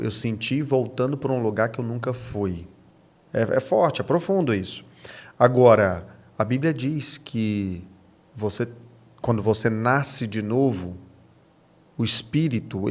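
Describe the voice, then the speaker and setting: Brazilian man explaining scripture. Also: mid-range voice. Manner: explanatory, asks and answers own questions, repeats key phrases. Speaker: podcast guest, pastor. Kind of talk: conversational religious teaching.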